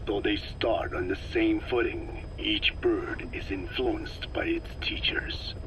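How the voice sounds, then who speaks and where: Game role: grave old male scientist, lowish whispery rasp, slow and instructive, clearly noisy tape recording. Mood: measured, wise. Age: elderly. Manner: slow, measured, solemn explaining. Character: grave, learned old male scientist explaining his parasites. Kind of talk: video game voice acting, recorded briefing. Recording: noisy cassette tape briefing.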